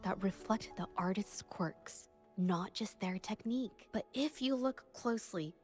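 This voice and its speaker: An actress voicing a composed, reserved young woman painter in a video game, speaking in a measured, reflective way. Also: mid-range voice, a little mellow, faint music behind, natural voice. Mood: explaining. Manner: measured, reflective, hesitant at times. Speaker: game character.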